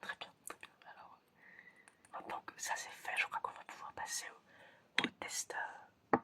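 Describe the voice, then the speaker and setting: A person whispering in a breathy, almost voiceless way in an ASMR roleplay as an examiner, halting and repeating short phrases. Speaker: ASMR creator playing an examiner giving a five senses test.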